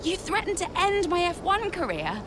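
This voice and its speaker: An actress playing a hurt, defiant young racing driver in a video game, confrontational and plain-spoken in a naturalistic dramatic style. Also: female voice, very high-pitched, big pitch swings, normal speed, background noise. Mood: frustrated, defiant. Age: young adult.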